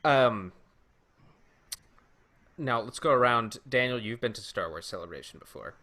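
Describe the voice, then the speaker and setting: Man, American accent, bright forceful voice, medium pitch, casual podcast hosting. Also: expressive, unhurried. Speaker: podcast host.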